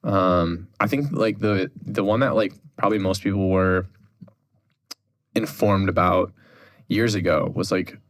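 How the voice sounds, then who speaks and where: A young man talking casually as a podcast guest on holistic health, relaxed and full of fillers like "like" and "dude.". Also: deep voice, a little slow. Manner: relaxed, filler-heavy, says "dude" and "like" often. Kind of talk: casual chat.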